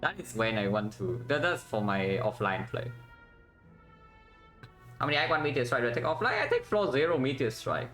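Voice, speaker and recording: A man's voice: mid-range voice, lively intonation, moderate pace, long pauses, a bit bright, soft background music. Vietnamese-accented man streaming a card game. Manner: thinks aloud, tag questions "yeah?", chats with viewers. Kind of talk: casual live-stream commentary.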